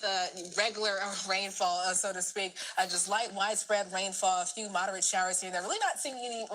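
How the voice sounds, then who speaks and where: A woman's voice: medium pitch, pushed and bright, low-quality recording. A woman giving a live ad-libbed weather update as a TV meteorologist, with somewhat broken phrasing. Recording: broadcast audio with faint noise.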